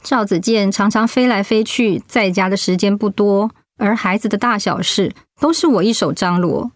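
A woman's voice: medium pitch, normal speed, dry recording, studio quality, close to an everyday voice. A woman acting a proud, stubborn middle-aged wife and mother in a radio drama, blunt and sardonic. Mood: bitter, resigned.

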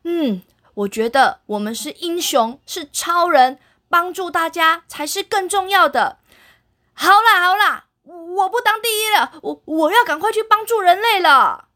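A woman voicing a boastful male green-energy superhero in a children's story, stubborn and quick to argue. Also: very high-pitched, lively intonation, bright, forceful tone, voice pushed up, heavily acted. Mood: yielding.

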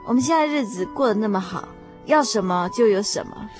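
A woman's voice: medium pitch, lively intonation, a little fast, soft background music, close to an everyday voice. A woman playing an exasperated, practical wife in a radio drama, incredulous and colloquial, with a Taiwanese Mandarin accent. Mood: pleading. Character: exasperated, practical wife scolding and pleading with husband.

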